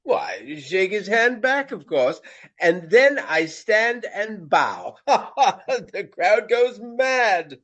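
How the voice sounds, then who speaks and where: An actor playing a vain, jovial Regency stage actor with courtly, effusive, old-fashioned speech in a radio play. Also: male voice, sits high, very animated, extremely slow, fairly bright tone, dull audio, exaggerated voice. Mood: gleeful, self-satisfied. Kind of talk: radio drama acting.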